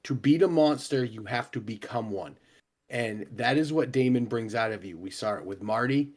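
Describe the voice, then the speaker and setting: Male co-host, wrestling analysis, steady and emphatic, mid-pitched. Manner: measured, emphatic, building an argument.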